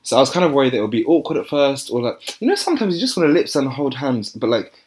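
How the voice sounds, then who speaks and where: A young man, chatting casually as a podcast co-host and telling stories with lively quoted voices. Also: mid-range voice, quick pace. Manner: chatty storytelling, animated when quoting others. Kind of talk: casual chat.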